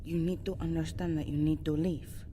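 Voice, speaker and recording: A low, mellow female vampire, acted by a woman, seductive yet guarded, in an intimate ASMR roleplay. She speaks in formal, dramatic phrases and often drops to a breathy whisper. Faint noise sits behind the voice. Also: level tone, normal speed, close to an everyday voice. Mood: urgent, hushed. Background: soft ambient sound.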